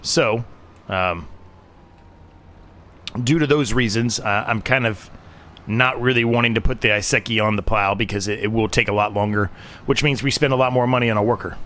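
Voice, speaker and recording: A man's voice: fairly low pitch, normal speed, pauses often, fairly bright tone, a little hiss or hum. Hesitant man with a fading voice. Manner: rambling, hesitant, many fillers and restarts. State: losing his voice. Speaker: game streamer. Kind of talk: casual gameplay commentary.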